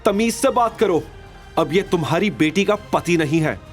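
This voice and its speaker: A man voicing a gentle, loyal young woman who speaks earnestly and tensely in an audio drama. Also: sits high, animated, moderate pace, faint music behind, voice pushed up. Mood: indignant.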